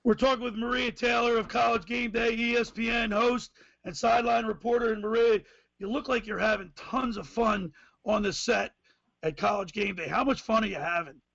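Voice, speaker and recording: A man hosting a sports talk radio show, with a raspy voice and a folksy, rambling way of asking questions. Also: extremely high pitch, fairly even intonation, normal speed, a bit bright, dry recording, muffled recording.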